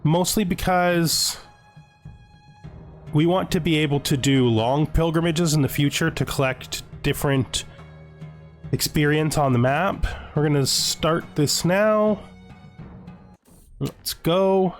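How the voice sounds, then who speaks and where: A man giving casual video game commentary, thinking aloud as he deliberates his choices. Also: a little high. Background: music.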